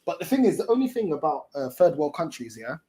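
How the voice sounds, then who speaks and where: A man's voice: a little high, very animated, fast, mellow, slightly rough. A man with a London accent chatting casually as a livestream host, in a slangy, repetitive, emphatic way. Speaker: fan channel host.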